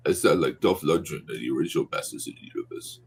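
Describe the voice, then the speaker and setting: A congested man from Buffalo, New York, co-hosting a podcast with quick casual remarks. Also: a bass voice, expressive, slightly rough. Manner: quick interjections. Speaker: podcast co-host. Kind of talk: casual movie-review chat.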